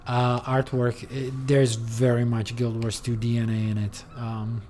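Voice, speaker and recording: A man's voice: medium pitch, completely flat delivery, measured pace, mellow, a little hiss or hum. A man streaming a game, talking casually and rambling, with lots of fillers and the odd swear. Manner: rambling, many fillers, loose and profane. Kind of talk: casual gameplay commentary.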